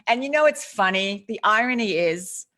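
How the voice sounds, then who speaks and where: A woman's voice: medium pitch, animated, moderate pace, fairly bright tone. A middle-aged woman with an Australian accent, speaking earnestly and reflectively as an author guest over a video call, often restarting her sentences. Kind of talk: casual interview chat.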